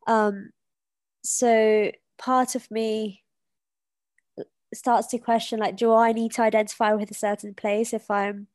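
A woman's voice: on the high side, level tone, measured pace, darkish tone, no echo. A woman in her twenties with a British accent, a podcast guest talking in a reflective, hesitant way with many fillers and restarts. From Kenya. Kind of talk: casual conversation.

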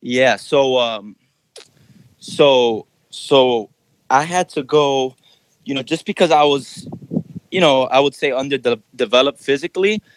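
A man's voice: mid-range voice, unhurried, a little hiss or hum, low-quality recording. A young adult man from Miami, Florida, a basketball trainer, talking casually in a podcast interview and using a lot of "you know" fillers.

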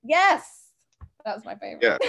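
A woman's voice: on the high side, huge pitch swings, moderate pace, vocal fry, a bit bright. A middle-aged American woman from the West Coast chatting as a podcast guest, informally telling anecdotes with conversational asides. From United States, West Coast. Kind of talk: casual anecdotal chat.

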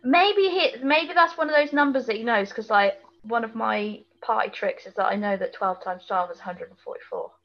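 A woman's voice: fairly high pitch, normal speed, hardly any pauses. A British woman chatting casually as a podcast co-host, on a slightly lo-fi recording. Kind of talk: casual chat.

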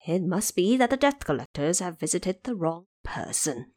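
A woman's voice: on the low side, very animated, normal speed, darkish tone, no echo, studio quality. A woman voicing solemn, deferential kings of the underworld in an audio drama, formal and placating.

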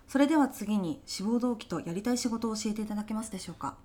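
A woman's voice: medium pitch, lively intonation, quick pace, dark tone, lightly acted. A woman playing a polite but easily exasperated veteran job interviewer in an audio comedy sketch, speaking formal business Japanese. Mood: polite, composed.

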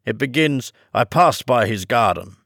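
A man's voice: medium pitch, lively intonation, measured pace, fairly bright tone, no room sound, studio quality. A man voicing a bossy, impatient Gryphon creature who hurries the others along. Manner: brusque, impatient.

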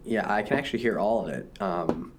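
A young man co-hosting a podcast, teasing and joking in casual banter, with a deep voice while a little sick. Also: a little fast, a little hiss or hum. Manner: teasing, joking, self-mocking. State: slightly sick. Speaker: podcast co-host.

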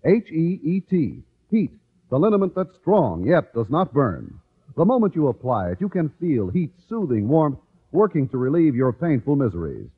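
A man's voice: fairly high pitch, husky. A man announcing a scripted vintage radio commercial with emphatic, polished salesmanship. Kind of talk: 1940s-50s radio advertisement, scripted pitch. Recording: narrow, tinny vintage radio recording.

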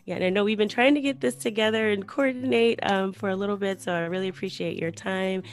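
A woman's voice: medium pitch, fairly even intonation, fast talker. A woman hosting a podcast, chatting casually with her guest and using many fillers. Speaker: podcast host. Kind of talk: casual interview chat.

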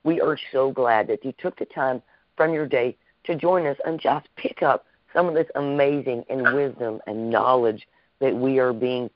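A man's voice: medium pitch, dry recording. A man hosting a podcast interview, effusive and gushing, often repeating himself. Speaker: podcast host. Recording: dull lo-fi call audio.

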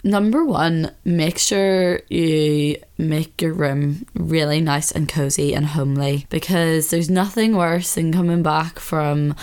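Twenties female podcast host, slightly husky, low voice, casual and rambling. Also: slow pace, hardly any pauses. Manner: chatty, relaxed, lots of fillers. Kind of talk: casual chat. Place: her bedroom, sitting on bed.

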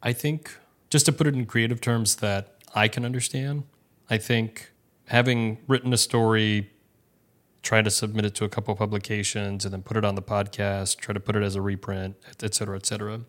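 A man's voice: lowish voice, flat delivery, a little fast, fairly bright tone. A man co-hosting a podcast, chatting casually in a reassuring, self-deprecating way. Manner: reassuring, self-deprecating, restarts his sentences. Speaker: podcast co-host. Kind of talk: casual chat.

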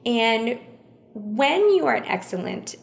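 A woman, born in the United States with Vietnamese as her first language, answering interview questions in a thoughtful, measured way and repeating phrases for emphasis. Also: on the high side, very animated, vocal fry, echoey room. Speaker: interview guest.

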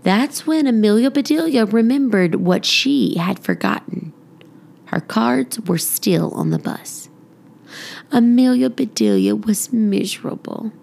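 A woman reading a children's story aloud as expressive narration for young listeners. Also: a little high, unhurried, gravelly. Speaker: podcast storybook reader.

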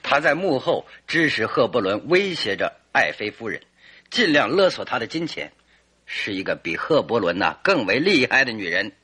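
Radio drama detective: smug and theatrical, bright forceful tone, slightly rough edge. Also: male voice, medium pitch, sing-song, moderate pace, exaggerated voice. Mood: confident, self-satisfied. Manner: theatrical, emphatic, self-assured. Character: confident, self-satisfied male detective expounding his theory.

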